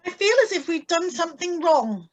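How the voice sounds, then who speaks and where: A woman's voice: very high voice, lively intonation, moderate pace. A woman playing an anxious, honest, timid wife in a radio play reading, worried and pleading in manner. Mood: uneasy.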